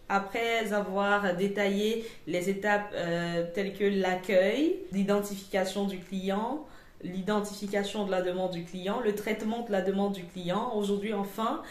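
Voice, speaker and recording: A woman presenting an informal lesson in a didactic way, with hesitant phrasing and frequent pauses. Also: medium pitch. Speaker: presenter of a customer service channel. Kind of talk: informal instructional talk to camera. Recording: echoey, roomy sound.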